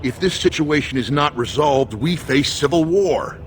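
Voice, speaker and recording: A man's voice: on the high side, lively intonation, moderate pace, a little hiss or hum, lightly acted. An actor playing an earnest, guarded dwarf nobleman's loyal second, speaking formally and with measure in a fantasy game. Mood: grave, urgent. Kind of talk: dramatic fantasy game acting.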